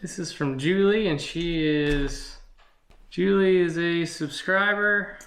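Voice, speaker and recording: A man's voice: on the high side, takes it slowly, a bit bright. A middle-aged American man chatting casually to viewers in a plainspoken, folksy way, as a family channel co-host. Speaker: co-host, father helping present a family channel. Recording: echoey, roomy home recording.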